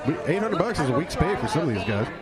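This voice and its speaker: A man's voice: a little high, very animated, quick pace, loud music behind the voice. A man in casual banter, wisecracking and throwing in short remarks as a co-host. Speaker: podcast co-host. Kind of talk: casual bar chat, bantering. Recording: busy crowd noise, outdoor setting. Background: loud crowd chatter.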